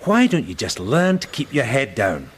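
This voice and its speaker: A man's voice: medium pitch, huge pitch swings, moderate pace, breathy edge. A man playing a blunt, fatherly police sergeant in a radio crime drama, matey and teasing. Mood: matey, reassuring.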